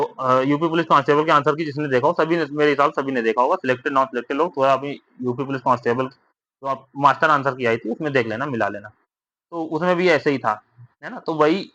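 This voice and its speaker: A man's voice: a little high, a bit bright, highs cut off. A man explaining exam updates informally to candidates, repeating himself, often checking for agreement, advising and reassuring. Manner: repetitive, with frequent tag questions seeking agreement.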